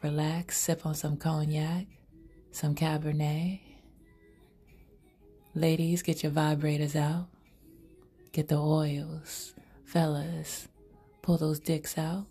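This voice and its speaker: A woman's voice: low voice, mellow, breathy edge. A woman hosting her own podcast, improvising erotic storytelling in a sultry, half-whispered, intimate way. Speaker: podcast host.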